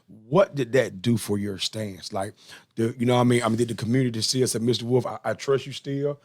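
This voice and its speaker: A man's voice: medium pitch, steady tone, a little fast. A man hosting a casual chat interview, talking informally and colloquially and often repeating himself. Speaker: podcast host, interviewer.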